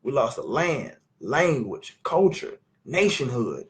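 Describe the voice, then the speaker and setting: A man, teaching scripture in an animated, questioning way at a family sit-down. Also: mid-range voice. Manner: emphatic, questioning, drawn-out teaching. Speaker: Bible teacher. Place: living room at a family sit-down.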